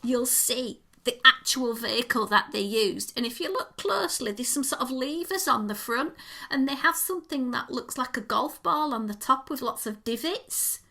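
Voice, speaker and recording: A woman co-hosting a casual podcast, chatty and gushing, with a voice going croaky. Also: high voice, moderate pace, sharp tone. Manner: gushing, enthusiastic about details. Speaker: podcast co-host.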